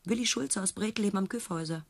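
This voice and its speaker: An actress playing a mocking, discontented circus trick rider in a radio play, with a dry sardonic manner. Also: female voice, medium pitch, quick pace, gravelly. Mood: scornful, dry.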